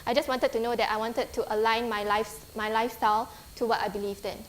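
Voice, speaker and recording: A woman in her twenties with a Singaporean English accent speaks to students in a lecture hall. Her voice sits a little high, and she talks in a friendly, earnest way, stopping often for ums and restarts. A faint background hum runs under her speech. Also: fairly even intonation, fast talker. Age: 20s. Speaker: guest speaker. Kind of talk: conference talk with slides.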